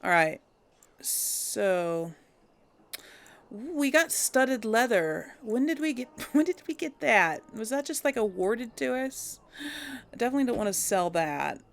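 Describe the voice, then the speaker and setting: Giggly woman with a gravelly edge. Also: fairly high pitch, sing-song, a little slow. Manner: chatty, giggly, thinks aloud. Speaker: let's play host. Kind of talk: casual gameplay commentary.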